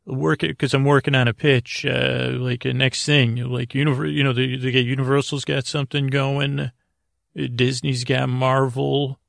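Middle-aged male sleep-podcast host, very heavy vocal fry, low-pitched-to-middling register, meandering and halting delivery, recorded close and dry. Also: little pitch movement, a bit bright, dry recording. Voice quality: creaky, gravelly. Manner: meandering, halting, self-interrupting. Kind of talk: rambling bedtime sleep-podcast monologue.

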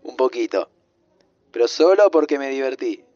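A man's voice: medium pitch, lively intonation, normal speed, darkish tone, no room sound, dull audio, natural voice. An actor as a hesitant, flustered Argentine man. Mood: playful. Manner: hesitant, repetitive, stammering. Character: flustered, tender man visiting his own future. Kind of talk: naturalistic audio fiction acting.

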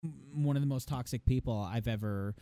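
Phlegmy young man ranting, dark dry voice. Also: medium pitch, brisk, dry recording. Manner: heated, profane storytelling. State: phlegmy, losing his voice. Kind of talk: casual ranting chat.

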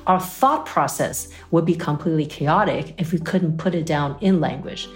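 A woman from Taiwan speaks as an expert guest in an interview, with a notably deep voice for a woman. She explains ideas in a thoughtful, academic way and often checks in with "right?" and "you know". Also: normal speed, faint music behind. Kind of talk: interview answers.

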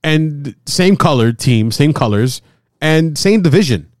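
A man in his forties hosting a casual baseball chat, excitable and often repeating himself. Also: a little high, unhurried, no room sound, clean studio sound. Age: 40s. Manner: excitable, repeats himself, stumbles over words. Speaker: host. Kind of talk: casual sports talk.

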